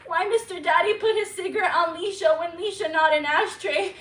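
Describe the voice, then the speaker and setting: A frightened little girl who lisps through baby talk, giggling and whimpering by turns. The voice is high and bright. It is heard from a distance in an echoey room. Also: brisk. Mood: childlike. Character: frightened little girl persona named Alicia. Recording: echoey room, mic far off.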